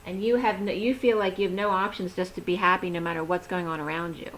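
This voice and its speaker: A woman giving a rambling, chatty tarot reading, talking with a voice that is going hoarse. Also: on the low side, fairly even intonation, fast talker, fairly bright tone, slight background noise, poor audio. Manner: chatty, rambling, laughs easily. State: losing her voice. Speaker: tarot reader. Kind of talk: casual tarot reading to camera.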